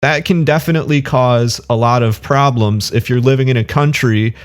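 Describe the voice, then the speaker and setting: A man in a middle-pitched, everyday voice gives casual reaction commentary. His tone is argumentative and dismissive, full of "you know" and "right?" asides and restarts. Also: normal speed. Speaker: online commentator.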